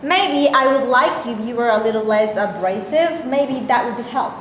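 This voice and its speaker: Woman acting gentle yet firm woman, high pitch, stage play reading, echoey room. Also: animated, moderate pace, lightly acted. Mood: mildly firm. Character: mild, likeable woman who stands up for herself. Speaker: reader of stage directions and a part. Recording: echoey room, faint noise.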